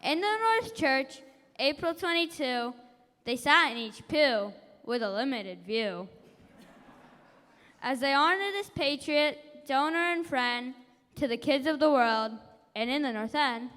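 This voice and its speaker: A young girl reciting a rhyming tribute in a slow, careful sing-song at a ceremony in a church. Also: sits high, expressive, a bit bright. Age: child. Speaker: schoolchild performer. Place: large church hall. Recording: distant voice with church reverb.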